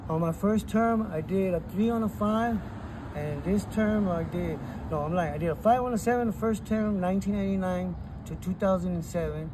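Middle-aged man with a higher voice, street noise. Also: normal speed. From Texas. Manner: animated storytelling with hand gestures. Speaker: interviewee. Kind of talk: casual street interview. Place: outdoors by a chain-link fence on a city street. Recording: outdoor street noise with passing cars. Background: traffic and street ambience.

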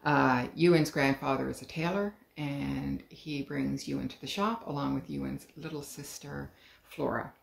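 A middle-aged woman, an author, reading her children's book aloud at home in a calm, clear storytelling manner. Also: very deep voice, moderate pace. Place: living room at home. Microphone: webcam, head and shoulders. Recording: roomy living room.

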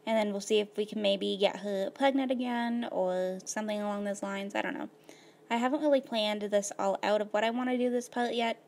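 A woman of middle pitch talks her way through a life-simulation game in an easygoing, rambling manner, full of "um" and "like". Her voice is a little hoarse, as if she is losing it. She sounds close to a desktop mic. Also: a little fast, darkish tone. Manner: rambling, filler-heavy, laid-back. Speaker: gaming video host. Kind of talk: casual gameplay commentary.

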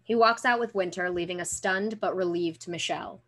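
A woman's voice: fairly low pitch, moderate pace, a bit bright. A young woman reading stage directions as scripted narration over a video call. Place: room at home.

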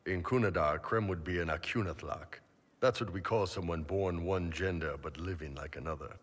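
An actor playing a big, gruff and jovial horned mercenary captain in a fantasy game, easygoing and teasing. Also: male voice, sits low, normal speed, big-room sound. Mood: matter-of-fact. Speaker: mercenary company leader.

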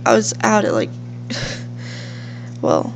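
A woman's voice: a bass voice, huge pitch swings, extremely slow, creaky, lo-fi sound. A young woman with a sniffly cold, casually rambling through let's-play commentary at home. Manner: chatty, rambling with fillers. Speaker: gaming channel host. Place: home room with a fish tank. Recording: faint hum from fish tank.

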